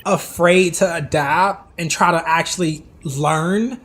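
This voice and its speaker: A man casually commenting on game replays for his live stream viewers, hoarse from losing his voice and talking straight to chat with lots of asides. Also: a little high, takes it slowly, a bit bright. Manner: chatty, talks to chat directly, many asides and restarts.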